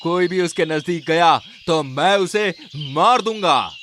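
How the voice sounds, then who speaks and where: A man's voice: a little high, lively intonation, a crowd in the background. A man voicing a possessive, menacing ghost of a young man in a cartoon horror story. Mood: threatening. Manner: menacing, slow, deliberate.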